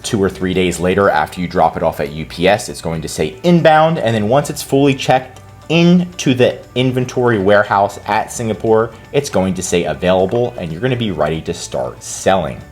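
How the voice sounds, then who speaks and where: A man hosting a tutorial and giving casual step-by-step instructions as a consultant. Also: lowish voice. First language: English. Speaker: consultant and channel host. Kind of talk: screen-recorded tutorial walkthrough.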